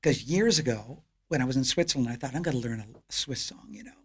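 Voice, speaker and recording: A Canadian man chatting as a podcast guest, telling lively, upbeat stories about performing. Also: mid-range voice, fast talker, breathy edge. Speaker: podcast guest, piano entertainer. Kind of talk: casual podcast conversation.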